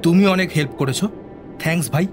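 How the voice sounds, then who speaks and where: A man playing a nervous, haunted young scientist in an audio drama, agitated and high-strung. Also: quick pace, over music, lightly acted. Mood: nervous rambling.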